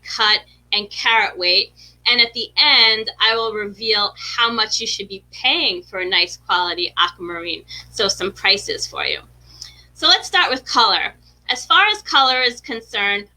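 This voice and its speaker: Bright-voiced New York woman hosting a live talk. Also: on the high side, rough recording. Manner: chatty, keeps asking the viewers "right?". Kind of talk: live-streamed educational talk.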